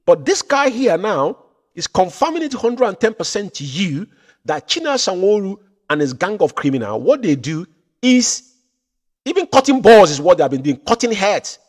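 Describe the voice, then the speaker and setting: A man hosting a political commentary show from home, building into loud, impassioned rants. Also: high-pitched, very animated, normal speed. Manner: rises to loud impassioned ranting. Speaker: show host.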